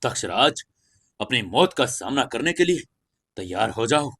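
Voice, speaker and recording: A man's voice: medium pitch, sing-song, a little slow, fairly bright tone, breathy edge, dry recording, exaggerated voice. An Indian man voicing a cold, mocking martial-arts hero in an audio story, taunting and menacing.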